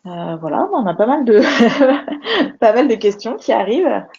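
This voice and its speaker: Slow, mellow woman moderating a webinar. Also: on the high side, sing-song, slightly rough. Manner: hesitant, many fillers. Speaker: webinar moderator reading audience answers. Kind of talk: reading out questions. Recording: muffled webinar audio.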